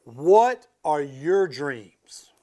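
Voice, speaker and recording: A man reading a picture book aloud to children in an expressive, emphatic way, with casual asides to his young listeners. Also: fairly high pitch, extremely slow. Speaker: school transportation director reading aloud to students.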